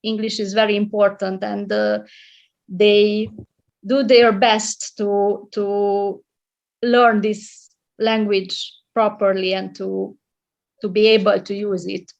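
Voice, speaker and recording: A woman's voice: medium pitch, flat delivery, slow pace, vocal fry, a little mellow. A middle-aged woman from Romania, a librarian and English tutor, speaking as a guest in a casual video-call interview, hesitant with many fillers and restarts. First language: Hungarian. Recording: muffled lo-fi audio.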